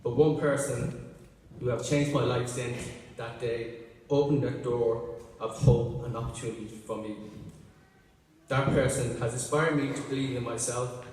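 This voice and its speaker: A middle-aged Irish man delivers a prepared speech slowly and deliberately, each phrase set apart. He is heard from far off in a large ceremony hall. His voice trails into a long, roomy reverb. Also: mid-range voice, fairly even intonation, a little mellow, a little hiss or hum. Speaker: graduate giving a speech.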